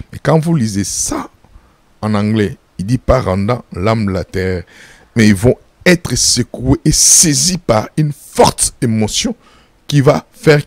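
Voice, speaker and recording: Emphatic pastor, low and dry. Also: male voice, darkish tone, dry recording. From Congo. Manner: emphatic, repeats phrases, asks rhetorical questions; firm and insistent. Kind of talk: preaching-style interview answers.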